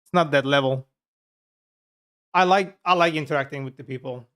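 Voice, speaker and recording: A man from Turkey with a Turkish accent, a software developer chatting frankly and with profanity to his viewers while coding live. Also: a little high, normal speed. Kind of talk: casual live coding stream chat.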